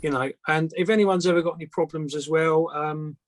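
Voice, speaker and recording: A man's voice: on the high side, steady tone, a little fast, no echo. A man who makes antennas, answering questions casually as a guest in a plain-spoken, hesitant way. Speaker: antenna maker, guest speaker.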